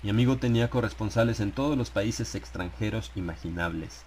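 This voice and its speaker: A man's voice: fairly low pitch, brisk, a little mellow. A man narrating a horror audiobook in a dramatized, first-person style. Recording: rain and ambient noise behind. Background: rain sound effects.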